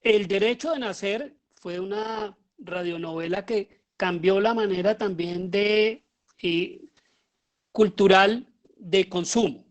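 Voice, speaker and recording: Male lecturer, middle-aged, Colombian accent, explanatory and informal, feeling his way through phrases. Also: on the high side. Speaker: lecturer, theater teacher.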